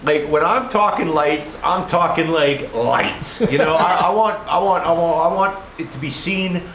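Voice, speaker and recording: A man's voice: fairly high pitch, a little slow, a bit bright, echoey room, a little hiss or hum, rough recording. A young man from Canada chatting casually and joking, laughing often and repeating himself as he explains a holiday project. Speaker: friend on a vlog. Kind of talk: casual chat.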